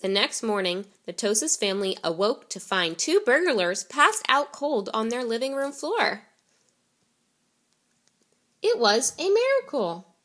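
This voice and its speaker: A woman reading a children's picture book aloud in an expressive storytelling way. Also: on the high side, a little slow. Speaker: reader.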